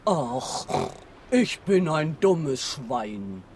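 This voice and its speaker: A man playing a dim, timid, self-pitying pig with a drawn-out delivery in a children's radio play. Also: a little high, sing-song, a little mellow, a little hiss or hum, heavily acted. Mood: doleful. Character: doleful, dim, timid male little pig.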